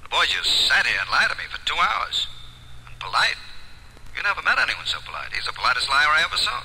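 A man with an American accent playing a dry, blunt police detective in an old radio drama, husky and clipped. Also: on the high side, huge pitch swings, fast, sharp tone, heavily acted, rough recording. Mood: matter-of-fact. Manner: clipped, matter-of-fact.

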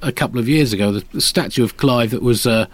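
A man hosting a satirical comedy chat in a deadpan, hesitant way. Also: mid-range voice, fast, no room sound. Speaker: comedy podcast host.

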